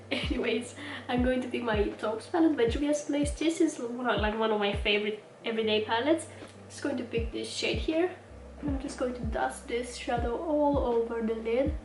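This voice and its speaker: A woman's voice: fairly high pitch, normal speed, noisy background. A young woman hosting a casual makeup tutorial, chatty and slightly stuffy from a cold as she works at a mirror. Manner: chatty, talks while working at a mirror. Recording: roomy, mic at a distance, music underneath. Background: background music.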